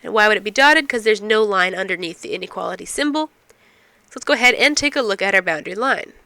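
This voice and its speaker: A woman's voice: mid-range voice, animated, fast talker, pushed and bright, no echo. A woman instructor explaining math step by step, asking and answering her own questions, while getting over a cold. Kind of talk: recorded math lecture.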